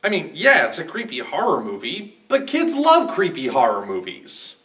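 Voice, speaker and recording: A man's voice: fairly high pitch, huge pitch swings, normal speed, fairly bright tone, distant mic. A man giving scripted analytical commentary as a guest film reviewer.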